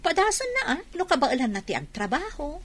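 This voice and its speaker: Radio drama employer played by a woman, businesslike yet sympathetic, somewhat high register with animated melody. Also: brisk, slight background noise. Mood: defensive. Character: businesslike but soft-hearted woman hiring lodging staff. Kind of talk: Ilocano radio drama acting.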